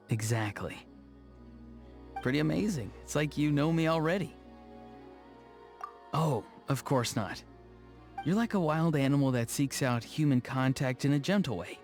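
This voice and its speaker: A man voicing an awkward, earnest young video game character, speaking in a low-key, hesitant way. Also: a little mellow, lightly acted. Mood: gentle, friendly. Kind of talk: scripted video game dialogue. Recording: music behind the voice. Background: faint background music.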